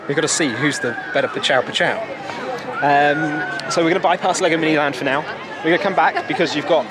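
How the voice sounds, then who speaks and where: A young British man chatting casually and informally to viewers as a travel vlogger, recorded outdoors on a handheld camera. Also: on the high side. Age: young adult. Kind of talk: casual vlog chat. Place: outdoors in a theme park. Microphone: handheld camera at arm's length. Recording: noisy busy outdoor crowd ambience. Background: crowd and outdoor park noise.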